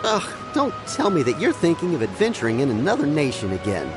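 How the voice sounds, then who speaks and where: A man's voice: fairly high pitch, huge pitch swings, brisk. An actor playing a wry, offhand townsman in a video game, speaking casually. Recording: music clearly behind.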